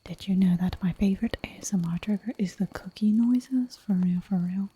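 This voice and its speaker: A woman whispering softly for an ASMR livestream, chatting in a giggly, rambling way while she draws at home. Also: mid-range voice, moderate pace, husky. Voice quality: soft whisper throughout. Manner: giggly, rambling, playful asides.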